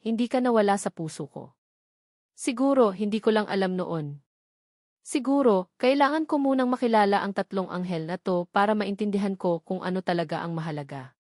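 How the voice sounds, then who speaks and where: A man's voice: high-pitched, no room sound, clean studio sound. A woman voicing an earnest, remorseful suitor. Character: earnest, remorseful man proposing to lost love.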